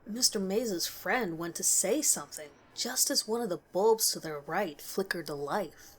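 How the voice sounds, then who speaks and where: A woman's voice: mid-range voice, expressive, measured pace, low-quality recording. A woman reading a scripted horror story as its narrator.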